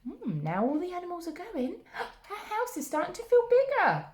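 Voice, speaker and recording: A woman reads a picture book to young children in a high, extremely animated voice. She takes her time and adds little asides to her listeners. The room around her is noticeably echoey. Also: normal speed. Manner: expressive, with gasps and asides to listeners. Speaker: children's story-time host.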